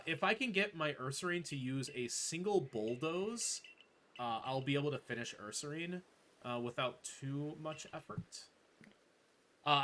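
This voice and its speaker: A man's voice: a little high, pushed and bright, slight background noise. A man playing through a game speedrun while casually explaining his route live, with music behind him.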